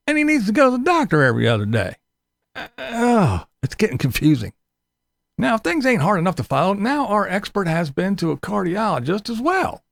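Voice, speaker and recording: Sarcastic video commentator with gravelly fry and big pitch swings, recorded close and dry. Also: dry recording. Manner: sarcastic, mocking. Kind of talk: mocking video commentary about another vlogger.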